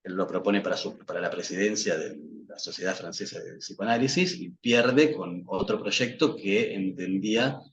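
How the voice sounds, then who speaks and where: A man's voice: sits low, moderate pace, few pauses. A man whose first language is Portuguese, giving a reflective academic talk as a psychoanalyst guest, hesitant, with many fillers and restarts. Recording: dull lo-fi sound with faint noise.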